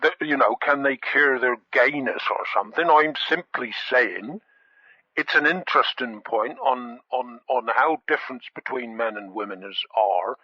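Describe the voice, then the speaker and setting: A stubborn, blundering caller played by a man argues on a comedy radio phone-in. He is mid-pitched, stammers and repeats himself, heard down a dull phone line. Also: normal speed, no echo, natural voice. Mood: insistent.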